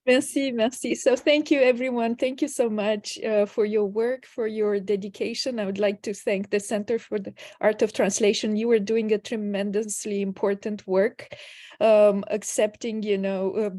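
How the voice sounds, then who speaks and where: A woman's voice: medium pitch, little pitch movement, normal speed, no echo, clean studio sound. A woman from Romania, hosting a video call and giving enthusiastic thanks and announcements. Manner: enthusiastic, warm thanks with frequent fillers. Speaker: host from the organizing cultural institute.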